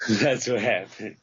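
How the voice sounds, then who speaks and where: A man's voice: fairly low pitch, slightly rough. A man in his fifties co-hosting a podcast, joking and bragging in casual banter. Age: 50s. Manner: boastful, joking, animated storytelling. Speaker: podcast co-host. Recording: dull lo-fi podcast audio.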